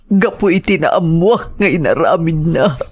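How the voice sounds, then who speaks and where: An anguished mother , played by a woman pours out her hurt in an audio drama. Her voice is husky and tearful, and she pleads slowly, full of emotion. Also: deep voice, lively intonation, full character voice. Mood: hurt, pleading. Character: anguished mother of a grown son, hurt by betrayal.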